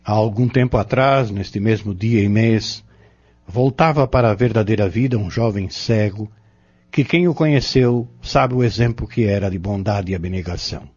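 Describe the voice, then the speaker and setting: A man's voice: on the low side, moderate pace, a little mellow, husky. An actor playing a solemn, devout man giving a formal speech to a gathering in a radio drama. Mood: solemn, grateful. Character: solemn, devout male orator addressing a gathering. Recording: dull lo-fi radio drama, music behind.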